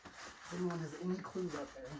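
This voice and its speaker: A man's voice: on the high side, moderate pace, background noise. A man talking casually and jokingly to camera indoors while he gets dressed, in short slangy bursts full of catchphrases and exclamations. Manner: playful, peppers talk with exclamations and catchphrases; slangy, jokey self-narration in short bursts. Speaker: vlogger demonstrating his cycling rain gear. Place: indoors at home.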